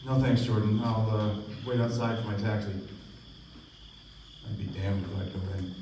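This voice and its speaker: A man's voice: fairly low pitch, steady tone, normal speed, warm tone, close to an everyday voice. A man playing a sober, reflective narrator in a radio play, recorded at a distance with strong echo and faint noise. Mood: disgusted, terse. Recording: echoey, noisy stage recording from far off.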